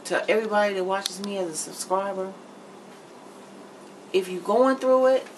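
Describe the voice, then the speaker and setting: A woman's voice: medium pitch, moderate pace. A middle-aged woman chatting candidly and emphatically to camera as she vlogs from her home kitchen, in a blunt way. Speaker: vlogger. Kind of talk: candid chit-chat to camera while cooking. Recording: noticeable background noise in a kitchen.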